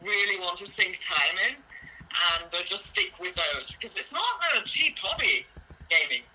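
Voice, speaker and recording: A young woman with a relatively deep voice, chatting casually and hesitantly with lots of fillers. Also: lively intonation, moderate pace, sharp tone, a little hiss or hum, rough recording. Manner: hesitant, many fillers and restarts. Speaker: project creator. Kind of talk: casual chat.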